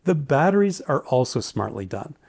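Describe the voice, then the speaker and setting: A man's voice: mid-range voice, sing-song, normal speed, gravelly, darkish tone. A man giving a casual, opinionated, lightly joking product review. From Canada. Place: indoors, home study.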